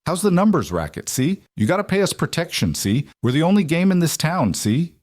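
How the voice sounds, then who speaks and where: A man's voice: on the high side, sing-song, fairly quick, a little mellow, no room sound, clean studio sound, pitched above the performer's own voice. A man voicing a goofy, chatty male office neighbor who rambles and cracks jokes. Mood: jokey.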